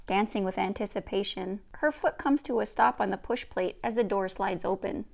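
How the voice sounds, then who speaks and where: A woman's voice: medium pitch, moderate pace, vocal fry, a little mellow. A woman reading aloud from a children's book in a storybook narration style.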